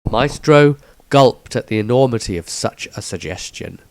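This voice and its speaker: A man's voice: mid-range voice, animated, normal speed, darkish tone, dry recording. A man reading his own fantasy story as a scripted, dramatic audiobook narration.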